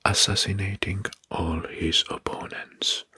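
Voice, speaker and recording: A man narrating soft-spoken ASMR in a breathy, husky whisper, deliberately repeating names and phrases. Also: deep voice, level tone. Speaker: host of an ASMR history channel.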